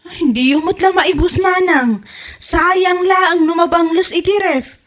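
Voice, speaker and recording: A kind-hearted young daughter-in-law, played by a woman in a comedy audio drama. Her voice sits high. She sounds exasperated and argues in a pleading, reproachful way. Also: unhurried. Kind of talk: comedy radio drama acting.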